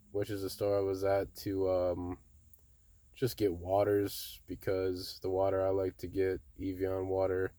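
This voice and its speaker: A young man casually telling a story to his viewers in a monotone, laid-back way, often saying "bro". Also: sits low, dry recording. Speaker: vlogger.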